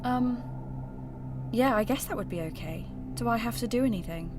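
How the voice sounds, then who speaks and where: Woman playing a curious, measured podcast investigator. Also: fairly high pitch, over music, close to an everyday voice. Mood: hesitant, curious. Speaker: podcast host. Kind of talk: scripted narration and staged interview.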